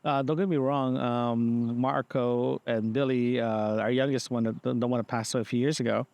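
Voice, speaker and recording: A man with a medium-pitched voice talks through a casual audio diary. The sound is dry and free of echo, recorded outside in a park. He rambles haltingly, often doubling back and repeating his words as he goes. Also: steady tone, gravelly, a little mellow, dull audio. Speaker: podcast host. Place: outdoors in a park.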